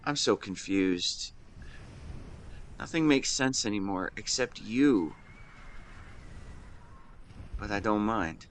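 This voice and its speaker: A man playing a shy young man in love in a romantic audio roleplay, speaking in an earnest, halting, emotional way. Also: lowish voice, fairly bright tone, a little hiss or hum. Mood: tender. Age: young adult. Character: shy young man tenderly confessing love to best friend.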